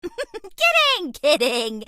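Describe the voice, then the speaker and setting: A woman's voice: extremely high pitch, bright, forceful tone, dry recording, clean studio sound, full character voice. A woman voicing a bubbly, cheese-obsessed young alien rodent space traveler in a video game, with a perky, theatrical delivery. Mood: cheerful, playful. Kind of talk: animated game character voice lines.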